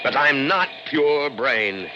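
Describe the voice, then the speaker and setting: An actor in a 1960s radio drama playing a brooding, intense scientist with theatrical, deliberate emphasis. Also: male voice, mid-range voice, expressive, background noise. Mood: frustrated, brooding. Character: obsessive brilliant male scientist, brooding and intense. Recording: lo-fi old radio broadcast recording.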